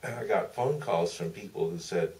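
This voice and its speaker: A man's voice: sits low, moderate pace, darkish tone. An older man, a film director, telling anecdotes at a live audience Q&A in a theater. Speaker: documentary director, panel guest. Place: museum theater. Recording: distant, noisy auditorium pickup.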